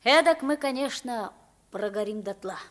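A woman playing a lively, high-voiced boy king. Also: moderate pace, natural voice. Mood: brisk, decisive. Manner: animated, frank, inquisitive. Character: poor boy mistaken for a young king, naive, plain-spoken. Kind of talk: 1950s Russian radio play acting.

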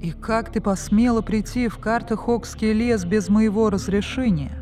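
A woman's voice: medium pitch, moderate pace, dark tone, voice pushed up, natural voice. A woman voicing a haughty, teasing young male elf knight in a storybook tale, challenging and playful. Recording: music behind the voice.

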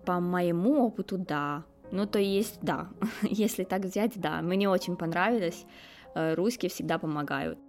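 A woman's voice: fairly low pitch, measured pace, darkish tone, faint music behind. A woman in her twenties with a Romanian accent answers interview questions conversationally, hesitantly searching for words as a non-native speaker. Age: 20s. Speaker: interview guest, foreign student.

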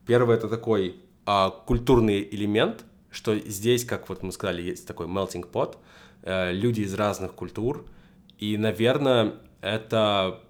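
A young man in a casual conversation, explaining his points in a structured way with frequent fillers. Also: on the low side. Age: young adult. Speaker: podcast guest.

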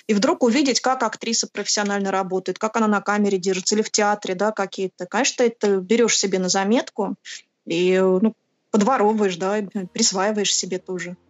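A woman answering as an interview guest in a conversational, expressive way, explaining with examples and repeated words. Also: fairly high pitch, no echo, lo-fi sound. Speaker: interview guest, actress and film producer.

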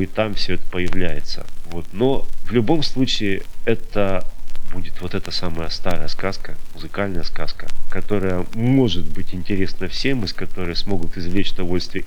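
A man's voice: deep voice, rough recording. A man co-hosting a podcast, talking reflectively and earnestly, often repeating phrases for emphasis. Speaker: podcast co-host. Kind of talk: reflective conversational podcast talk. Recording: clear background noise.

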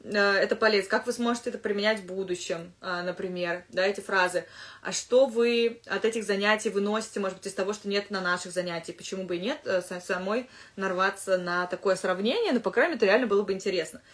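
A woman English teacher giving casual advice to fellow teachers, thinking aloud with lively asides. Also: medium pitch, quick pace.

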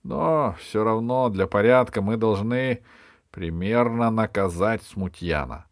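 A man's voice: on the low side, slow, no echo, studio quality, voice pushed up. Man acting out a pompous, fussy storybook king. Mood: smug, declaiming. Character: pompous, fussy, capricious old-world king.

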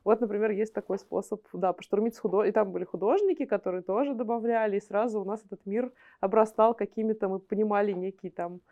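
A woman's voice: mid-range voice, fast, few pauses, phone-quality sound. A woman talking casually as a guest in a conversational interview. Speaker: animation studio guest.

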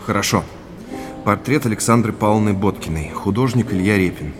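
An actor playing an earnest, inquisitive businessman in a naturalistic audio drama, polite and measured in conversation. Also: on the low side, over music, lightly acted. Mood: eager, respectful. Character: earnest, inquisitive businessman tracing his family's past.

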